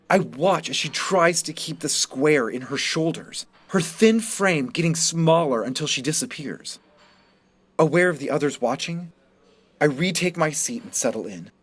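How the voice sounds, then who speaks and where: Male narrator for first-person teen fiction, voicing a wounded, resentful student; pitch a little above average. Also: expressive, measured pace, slightly rough. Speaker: reader of a short story.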